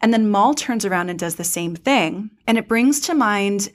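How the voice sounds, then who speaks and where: A woman with a mid-range voice co-hosts a fan podcast. She lays out her thoughts in an articulate, deliberate way, casual but analytical. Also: medium pitch, animated. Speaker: podcast co-host.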